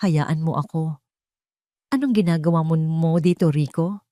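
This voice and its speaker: A woman with a Filipino accent voicing a calm, self-possessed young mother in an audio drama. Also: low-pitched, a little slow, no room sound, clean studio sound. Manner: calm, simple, composed.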